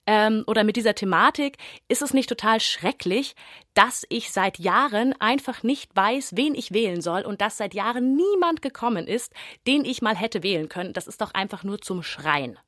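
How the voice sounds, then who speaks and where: A woman's voice: medium pitch, animated, normal speed, fairly bright tone, no echo, clean studio sound. A young woman theatre performer from Bavaria answering radio interview questions thoughtfully, with many fillers and emphatic stretches.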